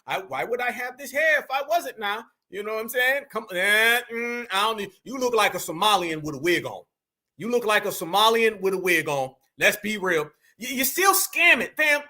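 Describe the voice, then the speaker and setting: Emphatic high-voiced man commenting on clips. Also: bright, forceful tone. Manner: emphatic, addresses viewers as family. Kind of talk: casual reaction commentary.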